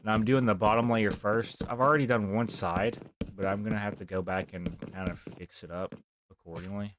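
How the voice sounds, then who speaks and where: Young man streaming a game, very deep voice, soft rambling talk, noisy mic. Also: fairly even intonation, a little fast. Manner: rambling, talks softly, near whispering. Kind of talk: casual live gameplay commentary.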